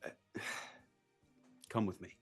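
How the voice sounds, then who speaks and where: A man playing a curt, flustered businessman father in a live comedy table read, halting and impatient. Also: darkish tone, soft background music, close to an everyday voice.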